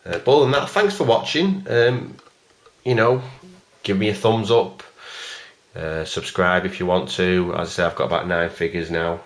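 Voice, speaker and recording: Deep-voiced man, rambling review. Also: moderate pace. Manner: rambling and hesitant, many fillers; plain, even delivery. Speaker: toy collector reviewing a figure. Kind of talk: casual video review.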